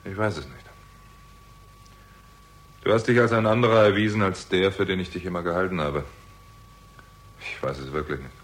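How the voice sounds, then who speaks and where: A man's voice: sits low, moderate pace, pauses often, natural voice. An actor playing a weary, bitter theatrical agent who narrates his own story in a terse, sardonic way in a 1960s German crime radio drama. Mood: bitter, accusing.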